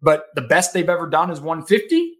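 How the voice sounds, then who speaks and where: A man in his thirties with a slightly higher-pitched voice, speaking as a guest on a podcast. He gives practical money advice in an earnest, emphatic way and often checks in with "right?". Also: big pitch swings, a little fast, fairly bright tone. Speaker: podcast guest, financial advisor. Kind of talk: casual podcast interview.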